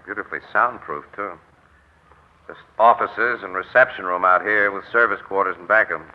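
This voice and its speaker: Muffled old-time radio: male actor as breezy, slangy American newspaper photographer, low-pitched, confident and teasing. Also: normal speed, natural voice. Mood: wry, knowing. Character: wry, confident newspaper crime photographer, a man. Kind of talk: 1940s radio drama acting.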